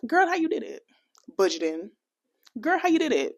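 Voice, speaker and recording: An American woman chatting casually in a budgeting vlog, animated and playful, laughing often and dropping into a Jamaican accent for bits. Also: high voice, normal speed. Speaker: budgeting vlogger.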